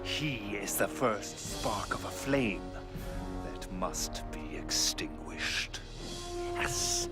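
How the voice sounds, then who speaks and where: Theatrical scheming villain, wildly swooping. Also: male voice, medium pitch. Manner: theatrical, sneering, drawn-out emphasis. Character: scheming, alarmist villainous chieftain, scornful and theatrical. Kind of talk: animated film voice acting. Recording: loud music behind the voice.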